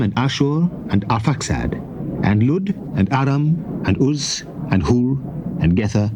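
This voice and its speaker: A man narrating scripture as a scripted dramatic reading, reciting deliberately in short, measured phrases on an old cassette recording. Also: mid-range voice. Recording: noisy old cassette tape transfer.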